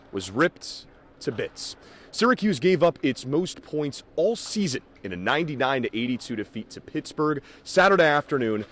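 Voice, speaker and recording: A man working as a sports announcer and reporter, delivering highlights and reports in a punchy, emphatic broadcast style. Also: fairly bright tone.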